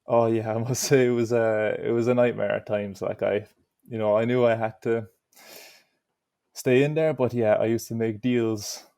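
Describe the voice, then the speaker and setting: A man's voice: mid-range voice, fairly even intonation, normal speed, vocal fry, warm tone, dry recording, studio quality. A young Irish man answering interview questions in an easygoing way, with lots of "you know". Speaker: interview guest.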